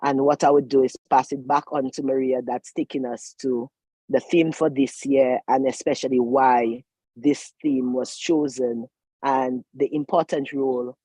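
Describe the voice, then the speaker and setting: Mellow, husky woman on a call. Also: extremely low pitch, fairly even intonation, measured pace, no echo, poor audio. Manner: hesitant, stumbling over names. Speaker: conference organiser. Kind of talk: online panel talk. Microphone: video call.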